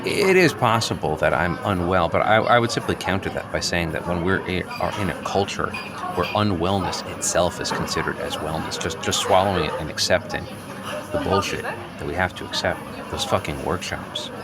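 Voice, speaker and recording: A man's voice: low voice, normal speed, a loud crowd. An actor playing a neurotic, agitated office worker in his late thirties, wordy and hesitant, justifying himself point by point in improvised audio comedy. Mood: insistent, aggrieved. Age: late 30s. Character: neurotic, agitated office worker newly rejecting social norms.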